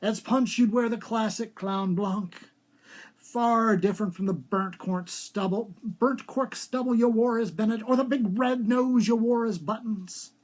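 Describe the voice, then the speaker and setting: A male author at a live reading. He has a theatrical storyteller's delivery and places clear emphasis on his phrases. The voice is that of a writer presenting his own fiction aloud. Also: sits high, a little slow. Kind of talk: live dramatic literary reading.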